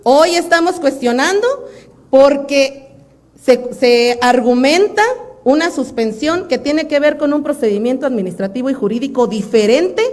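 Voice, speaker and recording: Woman, Mexican accent, high-pitched, legislator, formal political speech, insistent, argumentative. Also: normal speed, a little mellow. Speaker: state legislator.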